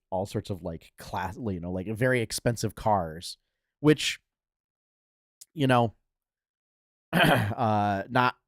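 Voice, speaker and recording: A young adult man hosting a casual gaming news podcast, talking in a relaxed way with lots of fillers, a little nasal from a cold. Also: lowish voice, dry recording, clean studio sound. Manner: laid-back, many fillers and restarts. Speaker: podcast host.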